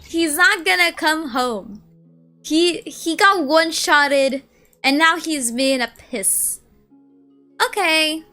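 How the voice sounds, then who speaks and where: A woman in her early twenties chatting casually on a gaming stream, excitable and gushing, rambling as she goes. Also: sits high, takes it slowly. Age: early 20s. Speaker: streamer and video essay creator. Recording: music behind the voice. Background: game music.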